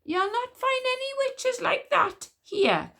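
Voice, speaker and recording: A woman reading aloud, voicing an imperious, fierce queen who talks down to everyone in a shrill, commanding voice. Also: very animated, takes it slowly, sharp tone, heavily acted. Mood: haughty.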